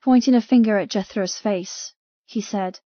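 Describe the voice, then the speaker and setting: A woman's voice: medium pitch, normal speed, warm tone, no echo, muffled recording. A woman narrating a dramatic first-person novel as a frightened captive. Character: frightened captive young woman telling her story. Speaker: audiobook narrator.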